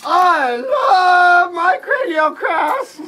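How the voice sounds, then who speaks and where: A woman voicing a proud, exuberant crested dinosaur, loud and exclamatory, in a live children's puppet show. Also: very high voice, animated, extremely slow, exaggerated voice. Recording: dull, very noisy live recording.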